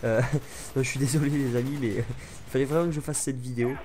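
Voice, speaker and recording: A man's voice: mid-range voice, steady tone, brisk. A young man from France with a stuffy head cold, rambling chattily over his gameplay with many fillers. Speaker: gaming YouTuber. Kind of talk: gameplay commentary.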